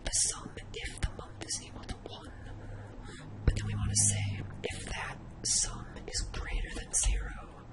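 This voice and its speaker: Someone whispering an informal ASMR-style spreadsheet tutorial, thinking aloud while demonstrating each step. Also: measured pace.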